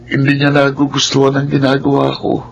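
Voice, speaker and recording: A man's voice: medium pitch, completely flat delivery, a little fast, vocal fry, lightly acted, rough recording. A man playing a timid, mistreated, hungry teenage boy in a Filipino radio drama, plaintive and polite, with heavy noise behind. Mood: humble, grateful. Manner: plaintive, polite, self-pitying.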